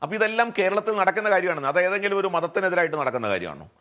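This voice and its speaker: Higher-pitched Malayali man arguing in debate. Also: sing-song, racing, pushed and bright. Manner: argumentative, poses rhetorical questions. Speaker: debate panelist. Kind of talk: television debate argument.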